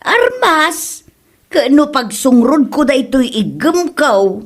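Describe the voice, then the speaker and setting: A woman plays a quarrelsome Ilocano mother from a comedy radio drama. Her voice sits a little high and swings widely as she scolds. She sounds emotive and ready for an argument. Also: big pitch swings, takes it slowly, exaggerated voice. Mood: indignant. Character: nagging, quarrelsome middle-aged Ilocano wife and mother.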